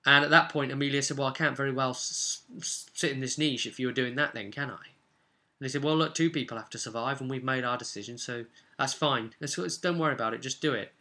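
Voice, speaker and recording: A man's voice: medium pitch, pushed and bright. A man speaking as a game master, recounting play informally and explaining with emphatic, repeated phrases. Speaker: game master recounting his tabletop session.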